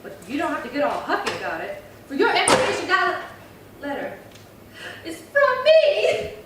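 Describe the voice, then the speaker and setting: A woman playing a scheming, excitable, dramatic housewife in a 1950s radio comedy, recorded from afar in an echoey hall. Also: extremely high pitch, moderate pace, heavily acted. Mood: wailing, upset. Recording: echoey hall, distant mic, faint noise.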